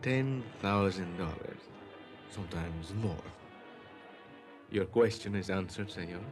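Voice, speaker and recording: An actor playing a proud, mercenary gigolo, courtly and measured, in an old-time radio drama. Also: male voice, sits low, music in the background. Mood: amused, proud.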